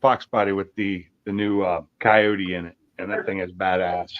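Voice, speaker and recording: A man's voice: low voice, level tone, moderate pace, narrow, tinny audio. A man joining a car livestream as a guest, easygoing and loose, trailing off with fillers. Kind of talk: casual car chat.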